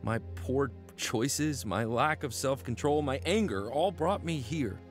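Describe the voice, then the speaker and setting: An actor playing a troubled young male airman, narrating his story gravely in first person in a radio drama. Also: medium pitch, lively intonation, unhurried, soft background music. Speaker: narrator and main character.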